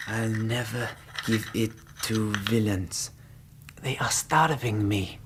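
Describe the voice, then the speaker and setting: A slow, weakened, defiant captive. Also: male voice, fairly low pitch, fairly even intonation, noisy background. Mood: weary defiance. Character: defiant, starving captive Greek man, weak but stubborn. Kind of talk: radio drama acting.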